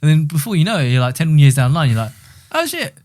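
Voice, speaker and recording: A man's voice: fairly high pitch, sing-song, fast, a little mellow. A man, co-hosting a podcast in laid-back, easily amused chat. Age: 30s. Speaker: podcast co-host. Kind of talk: casual chat.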